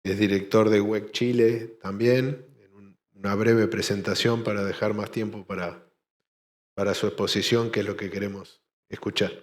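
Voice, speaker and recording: A man's voice: lowish voice, steady tone, normal speed. A man moderating a conference panel, introducing speakers briefly and informally. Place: conference hall.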